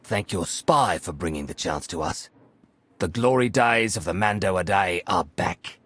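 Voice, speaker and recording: A man playing a fierce, glory-hungry warrior in a video game, with a raspy, gravelly voice. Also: sits low, measured pace, fairly bright tone, no echo, heavily acted. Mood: proud.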